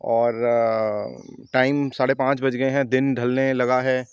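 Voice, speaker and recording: Chatty travel vlogger from India. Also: male voice, medium pitch, flat delivery, slow pace, clear, resonant tone, dry recording, dull audio. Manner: chatty, talks directly to viewers. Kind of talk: casual travel vlog narration. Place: outdoors on a mountain trail.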